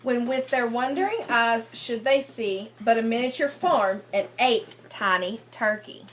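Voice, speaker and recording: A woman teacher leads a read-aloud for young children. Her voice sits a little high, and she moves between storybook narration and prompting questions. There is faint noise behind her. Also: unhurried. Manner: often repeats children's answers back, prompting them with questions. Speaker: teacher reading a picture book to young children. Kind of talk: interactive read-aloud lesson with questions and explanations.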